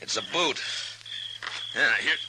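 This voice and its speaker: An actor playing a steady, dry American frontier lawman, understated and in command, in an old western radio drama. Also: mid-range voice, slow pace. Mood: focused. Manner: understated, laconic, authoritative. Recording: noisy old radio recording, heavy hiss.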